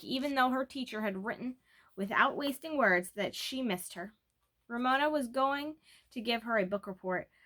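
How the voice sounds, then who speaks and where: Bright-voiced woman reading a children's story. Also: on the high side. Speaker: read-aloud narrator. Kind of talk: reading a children's chapter book aloud, expressive storytelling.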